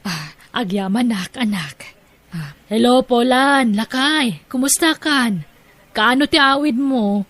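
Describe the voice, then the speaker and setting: A woman acts out a middle-aged mother in a family audio drama, gentle and self-sacrificing. Her voice is breathy and somewhat high, and she speaks very slowly with an Ilocano Filipino accent. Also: animated, no room sound, full character voice.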